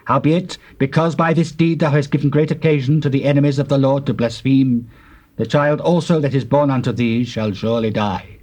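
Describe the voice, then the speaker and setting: A man's voice: medium pitch, fairly even intonation, fairly quick, a little mellow, close to an everyday voice. A man playing a stern prophet who solemnly declaims God's judgment in a dramatized scripture reading. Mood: grave.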